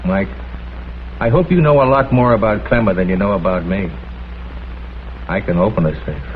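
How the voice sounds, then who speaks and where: An actor playing a skeptical police lieutenant in a vintage crime drama, talking in a dry, blunt way. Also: male voice, fairly low pitch, normal speed, lightly acted. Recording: tinny old soundtrack with background hiss.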